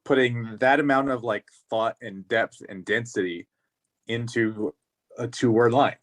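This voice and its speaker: Deliberate man with a medium-pitched voice. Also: fairly even intonation, fairly bright tone. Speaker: podcast panelist. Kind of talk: casual chat.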